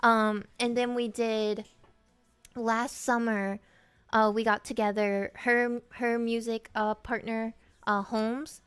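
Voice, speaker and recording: A young woman singer talking casually as a guest, bubbly and quick to laugh, swearing freely. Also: fairly high pitch, flat delivery, clear, resonant tone. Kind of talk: casual chat.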